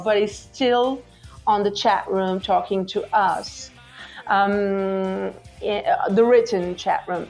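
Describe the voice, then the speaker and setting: Slow-talking Portuguese woman in her forties. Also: medium pitch, lo-fi sound. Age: 40s. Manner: chatty, hesitant, many fillers. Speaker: podcast host. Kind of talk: casual chat.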